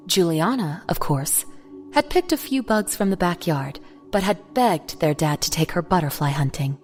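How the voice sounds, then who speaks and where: A woman narrates a scripted audio drama in a slightly low voice. Music plays clearly beneath her storytelling. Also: expressive, moderate pace.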